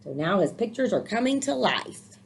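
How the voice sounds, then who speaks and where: A woman's voice: medium pitch, big pitch swings, moderate pace, vocal fry, slight background noise. A woman reading a picture book aloud to children, expressively, with encouraging, explanatory asides. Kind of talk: storybook read-aloud with commentary for children.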